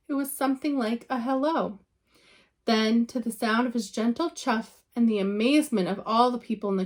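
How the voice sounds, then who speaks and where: Lady reading a kids picture book out loud, highish voice, teacher style, really leans on the dramatic words. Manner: animated storytelling with dramatic emphasis and drawn-out words. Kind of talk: expressive children's storybook read-aloud.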